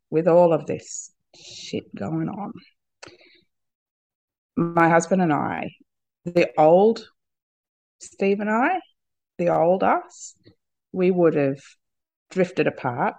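A woman with a cold and a husky voice, talking candidly as a podcast guest, laughing often and restarting her sentences. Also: lowish voice, slow pace, pauses often, a little mellow, no room sound. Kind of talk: candid personal conversation.

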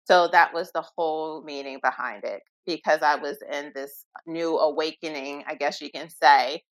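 Earnest, low-voiced woman around forty. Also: fairly even intonation, normal speed, low-quality recording. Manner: reflective, earnest, with small restarts. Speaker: guest, minimalism business owner. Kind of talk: casual interview chat.

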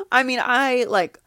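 A woman's voice: high voice, bright, forceful tone, dry recording. A woman in her thirties hosting a podcast, speaking candidly and confessionally in a reflective personal monologue. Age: 30s. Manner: candid and confessional, with laughs and repetitions. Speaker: podcast host.

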